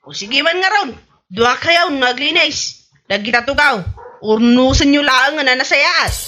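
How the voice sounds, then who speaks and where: A woman's voice: sits high, expressive, measured pace, sharp tone, full character voice. A woman with an Ilocano Filipino accent playing a vain, bossy president of a village women's club in a radio comedy drama, haughty and imperious. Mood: indignant, haughty.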